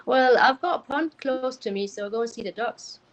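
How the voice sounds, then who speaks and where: A woman's voice: a little high, fairly quick. A woman from Denmark, chatting casually as a livestream guest. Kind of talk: casual chat. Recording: noisy live stream.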